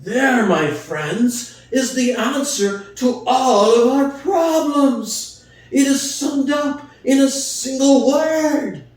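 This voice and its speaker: A man's voice: very high-pitched, slow. A man voicing an old, wise boar who gives a rousing revolutionary speech in a dramatic book reading. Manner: impassioned speechmaking, rhetorical questions, swelling emphasis. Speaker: reader. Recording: very echoey room, far from mic.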